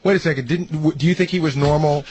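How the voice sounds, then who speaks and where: Fast-talking man on radio. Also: fairly high pitch, darkish tone, slight background noise. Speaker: radio show staffer introducing tapes. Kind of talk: casual spoken recap.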